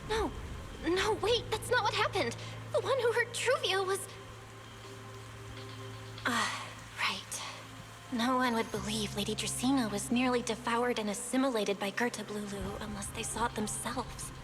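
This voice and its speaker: Woman acting a high-voiced, spirited young heroine. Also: measured pace, natural voice. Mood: shocked. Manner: earnest, emphatic, expressive. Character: spirited, loyal, stubborn young woman, caring partner in crime. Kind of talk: dramatic game cutscene voice acting. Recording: loud music behind.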